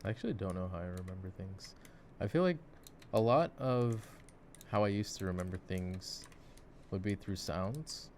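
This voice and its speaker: Male, very low voice, musing ASMR gamer chat, light clicking and typing behind. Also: animated, moderate pace, darkish tone. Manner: reflective, musing. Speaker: ASMR voice actor playing games. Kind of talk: intimate ASMR gameplay chat. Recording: clicking mouse and keyboard noise. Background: mouse clicks and keyboard typing.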